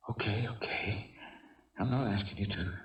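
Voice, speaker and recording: A man's voice: lowish voice, moderate pace, lightly acted. An actor playing an anxious, pleading family man held hostage in a 1970s radio drama. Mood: urgent, pleading. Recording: dull, echoey 1970s radio recording, distant.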